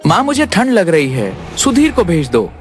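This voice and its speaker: A woman's voice: fairly low pitch, wildly swooping pitch, normal speed. A woman voicing a sly, self-serving young boy in a children's cartoon story. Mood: self-justifying. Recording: music behind the voice.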